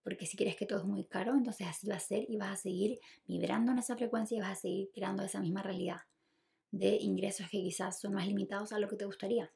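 A woman's voice: mid-range voice, steady tone, fast, vocal fry, mellow. A woman with a Chilean accent hosting a podcast alone, talking casually and reflectively, confiding and giving advice straight to the listener. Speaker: podcast host.

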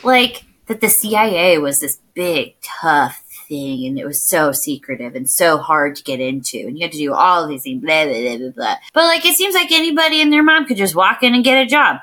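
A woman's voice: low voice, lively intonation, a little fast, few pauses, pushed and bright. A woman in her thirties co-hosting a podcast in a casual, jokey, giggly way. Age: 30s. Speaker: podcast co-host. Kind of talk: casual chat.

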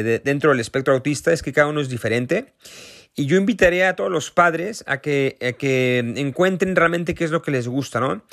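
A man in his thirties with a Mexican accent, speaking in a recorded video message as a parent and association founder, earnest and thoughtful, pausing often to find his words. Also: fairly high pitch, fairly bright tone, no room sound, studio quality. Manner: earnest, thoughtful, with frequent hesitations.

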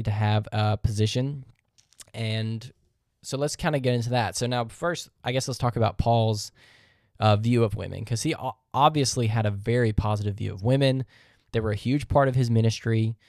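A man's voice: mid-range voice, a little mellow, no echo. Male podcast host, mid-range voice, methodical Bible-teaching monologue, dry close recording. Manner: earnest, methodical, reads verses aloud; rhetorical questions.

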